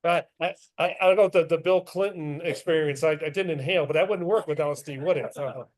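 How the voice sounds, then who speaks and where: A man's voice: fairly high pitch, brisk. A middle-aged man, an author speaking at a club meeting, chatting casually and poking fun at himself. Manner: chatty, self-deprecating, laughs often. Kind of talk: casual club talk with questions.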